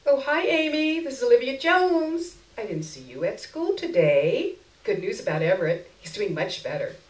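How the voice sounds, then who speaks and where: A woman's voice: fairly high pitch, very animated, normal speed, voice pushed up. A woman reading a story aloud, voicing a needy, rambling woman who imposes on others. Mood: chirpy, insistent. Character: needy, chatty woman pleading for favours. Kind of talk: acted short-story reading before an audience. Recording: roomy echo, recorded far from microphone.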